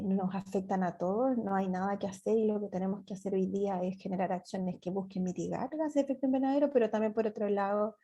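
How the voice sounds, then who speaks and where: A woman's voice: medium pitch, mellow, dull audio. A woman giving closing remarks as a webinar panelist, earnest, sometimes repeating words as she searches for them. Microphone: video call.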